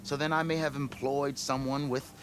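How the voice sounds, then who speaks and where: An actor playing a blustering, scheming expedition leader in a video game, with grandiose storytelling. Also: male voice, mid-range voice, little pitch movement, moderate pace, a bit bright, faint music behind, close to an everyday voice. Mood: eager, persuasive. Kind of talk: video game cutscene acting.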